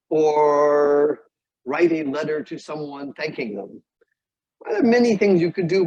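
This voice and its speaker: Husky, higher-pitched male academic speaker. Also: darkish tone. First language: English. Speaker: guest lecturer, psychology professor. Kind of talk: academic lecture and discussion. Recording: dull, muffled lo-fi audio.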